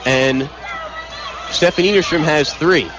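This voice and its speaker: Male play-by-play sportscaster, high register, punchy clipped radio calls over heavy crowd noise. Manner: vivid, clipped, rapid-fire calls. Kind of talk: live basketball radio commentary. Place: indoor basketball arena. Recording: loud crowd noise behind, live arena broadcast. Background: loud crowd.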